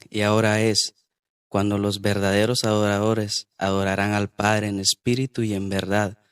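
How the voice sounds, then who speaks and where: A man from Guatemala, hosting a church podcast and reading scripture aloud, pausing often on drawn-out fillers. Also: fairly low pitch, clear, resonant tone, no room sound, studio quality. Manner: hesitant, many drawn-out filler pauses. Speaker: podcast host.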